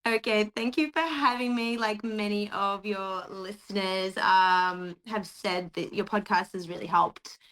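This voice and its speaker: A woman with an Australian accent, a podcast guest telling her life story in a conversational, hesitant way with frequent pauses. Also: mid-range voice, a bit bright. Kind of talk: personal storytelling.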